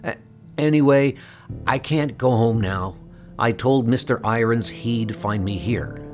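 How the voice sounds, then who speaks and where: A man's voice: mid-range voice, a little slow, lightly acted. A man voicing a nervous, defensive young superhero with a hesitant stammer in a comic book audio drama. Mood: flustered, defensive. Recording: music under the voice. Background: music.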